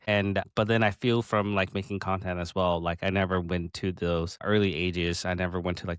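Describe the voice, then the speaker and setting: A man in his late thirties hosting a casual interview, talking in halting bursts with many restarts. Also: deep voice, smooth, no echo, studio quality. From Pacific Northwest of the US. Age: 30s. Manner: halting, restarts often, excited laughs. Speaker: podcast host.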